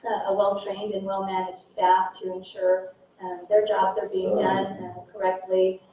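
A woman's voice: medium pitch, monotone, measured pace. A woman in her thirties delivers a prepared candidate statement, earnest and formal but full of small fillers. She stands with a handheld mic in a large hall, heard from a distance through heavy echo. The sound is narrow like a phone line, with faint hiss. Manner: earnest, formal, with frequent ums and uhs. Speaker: county assessor candidate.

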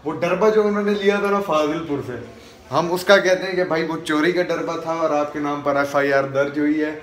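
A man's voice: a little high, roomy sound. A young man with a Pakistani accent chatting casually and teasingly as a vlogger, holding his phone at arm's length. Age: young adult. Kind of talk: casual vlog chat. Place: room at home. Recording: noisy handheld phone recording.

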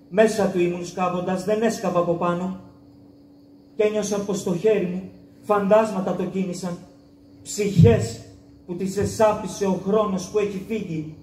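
An actor playing a grieving father who mourns the daughter he wronged, in a slow, lamenting stage monologue, recorded from far off in an echoey hall. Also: sits high, warm tone, a little hiss or hum, lightly acted. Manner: slow, anguished, lamenting delivery. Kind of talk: theatrical monologue, stage declamation.

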